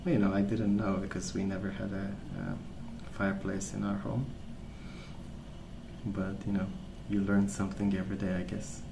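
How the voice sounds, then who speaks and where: A man speaking softly as an ASMR host, rambling through stories in a hesitant, pausing, unhurried way. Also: on the low side, little pitch movement, vocal fry, background noise. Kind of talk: soft-spoken ASMR rambling.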